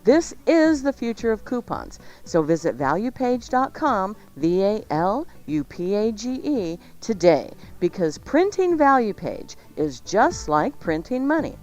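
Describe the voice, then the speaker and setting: A woman's voice: mid-range voice, big pitch swings, a little slow, few pauses, darkish tone, dull audio, soft background music. A woman co-hosting a radio show, announcing and reading ads in an upbeat, playful, emphatic way.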